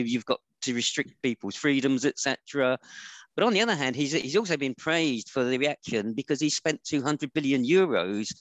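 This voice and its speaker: A man on a discussion panel, explaining in a measured, analytical way. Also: medium pitch, a bit bright, dry recording, low-quality recording. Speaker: panel guest.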